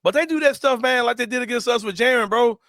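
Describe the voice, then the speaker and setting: A young man hosting a casual sports talk show, animated and slangy and quick to laugh. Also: extremely high pitch, no room sound, clean studio sound.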